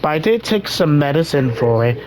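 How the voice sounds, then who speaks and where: A young man hosting a casual gaming vlog, rambling with many restarts while his voice recovers from being hoarse. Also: fairly high pitch, sing-song, normal speed, darkish tone, a little hiss or hum. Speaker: gaming channel host.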